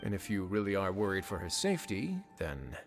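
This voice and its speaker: An actor playing a composed, shrewd, confident duke in a video game, with formal, assured phrasing. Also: male voice, sits low, wildly swooping pitch, a little fast, soft background music, heavily acted.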